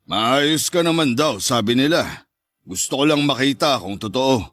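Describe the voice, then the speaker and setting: A man's voice: medium pitch, expressive, a little slow, a bit bright. An actor playing a confrontational ex and father, cool and pointed, in a radio drama. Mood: cool, challenging. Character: Henry, confrontational ex, father of her children. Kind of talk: Tagalog radio drama dialogue.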